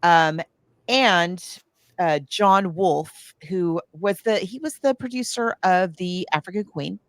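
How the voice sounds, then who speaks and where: Podcast co-host, female, slightly low register, dry sound, casual and emphatic storytelling. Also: slow pace, dry recording. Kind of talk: casual film discussion, recapping a plot.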